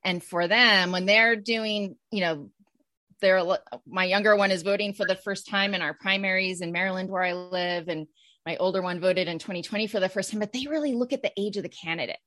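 A middle-aged woman interviewing a guest, speaking in a relaxed way with fillers and long questions. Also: medium pitch, brisk, no room sound. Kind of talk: conversational interview.

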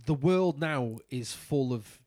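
A man's voice: medium pitch, expressive, slow pace, darkish tone, no echo. A man with a nasal voice from polyps, co-hosting a casual podcast chat in a laid-back, easygoing way. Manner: laid-back, chatty, laughs easily. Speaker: podcast co-host.

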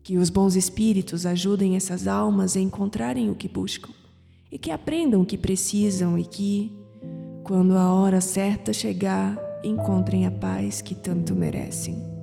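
A woman playing a wise, solemn old mystical grandmother with a Brazilian accent in an audio drama, speaking in a measured, prophetic way. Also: mid-range voice, expressive, creaky, warm tone. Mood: solemn, tender. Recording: long reverb, music behind.

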